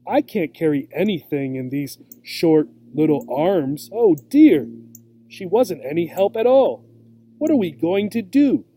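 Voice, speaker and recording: A man's voice: a little high, sing-song, measured pace, warm tone, soft background music. Man voicing a plaintive, tearful mother kangaroo. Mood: despairing. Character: tearful, anxious mother kangaroo, gentle and pleading.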